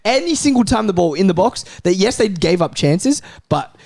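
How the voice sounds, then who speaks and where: A man's voice: sits high, big pitch swings, moderate pace, vocal fry, a little mellow, no echo. Emphatic man in a dry-sounding football debate. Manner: emphatic, repeats himself for stress. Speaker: football fan panellist.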